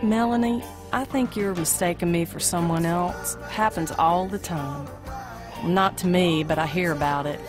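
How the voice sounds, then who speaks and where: A woman's voice: fairly low pitch, measured pace, close to an everyday voice. A woman playing a brassy, folksy small-town diner waitress in an amateur audio play, with blunt, informal talk. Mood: chatty, folksy. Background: music.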